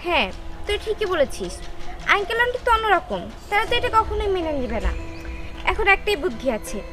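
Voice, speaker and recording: A woman's voice: very high-pitched, sing-song, a little fast. A young woman playing a supportive, urgent best friend in a short drama film. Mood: urgent, advising. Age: young adult. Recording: loud music behind dialogue.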